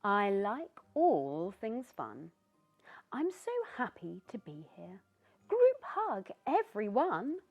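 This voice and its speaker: A woman's voice: medium pitch, wildly swooping pitch, takes it slowly, breathy edge, soft background music, voice pushed up, full character voice. A woman voicing a cheerful, friendly young male pumpkin in a storybook, talking in an eager, bubbly way. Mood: cheery.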